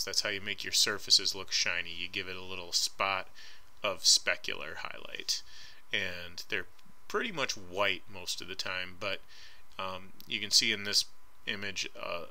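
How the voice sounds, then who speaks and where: A man with a very bright, forceful voice gives an art lecture over slides. He talks casually and hedges often, filling pauses with ums, and sits on the lower side in pitch. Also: vocal fry. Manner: casual and hedging, frequent ums. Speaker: art instructor. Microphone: voice-over recorded over slides.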